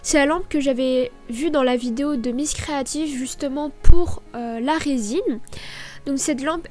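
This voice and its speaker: A woman with a high voice narrates a casual vlog voice-over while stuffy from a cold. She stammers and hesitates often, filling gaps with drawn-out fillers. Light music plays quietly behind her. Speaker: small business vlogger.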